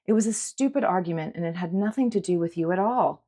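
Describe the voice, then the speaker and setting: A woman voicing a caring, patient woman who reassures with gentle insistence in a dramatic audiobook. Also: on the low side, fast talker, close to an everyday voice. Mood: reassuring. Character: caring, patient woman comforting an upset girl. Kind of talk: dramatic audiobook character acting.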